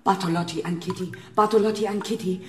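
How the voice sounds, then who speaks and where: A woman's voice: fairly low pitch, fairly even intonation, quick pace, echoey room, close to an everyday voice. A woman playing an eccentric, cheeky and defiant lady in a children's radio play. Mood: conspiratorial.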